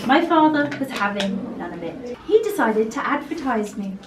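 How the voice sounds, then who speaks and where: A woman playing the ghost of a young woman hanged for her father's murder, telling her tale in a dramatic, measured way on a castle tour. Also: a little high, sing-song, roomy sound, far from the mic, background noise. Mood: mournful. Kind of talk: theatrical storytelling. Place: indoor castle tour space.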